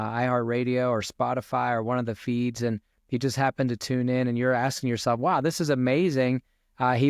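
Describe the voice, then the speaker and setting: A man's voice: mid-range voice, no room sound, clean studio sound. A man in his forties hosting a faith podcast, speaking earnestly like a preacher to his listeners from a home office with a headset. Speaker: podcast host and minister. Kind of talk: podcast interview ending in a prayer. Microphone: over-ear headset.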